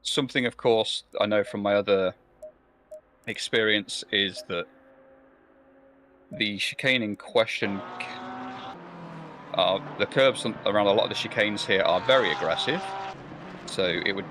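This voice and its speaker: A man's voice: fairly low pitch, a little slow, long pauses, a bit bright, a little hiss or hum. A man commentating a sim race live, rambling and hesitant, with many fillers and restarts. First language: not German or Belgian.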